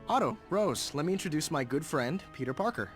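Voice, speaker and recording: A young man playing an impatient, businesslike rich heir in a video game, brisk and self-assured. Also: fairly high pitch, animated, soft background music, lightly acted.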